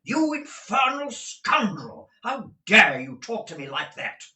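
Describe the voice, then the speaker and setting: A man voicing a stern, blustering middle-aged male lawyer who talks in a booming, domineering way. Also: sing-song, slow pace, a bit bright. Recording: roomy audio from a distant mic.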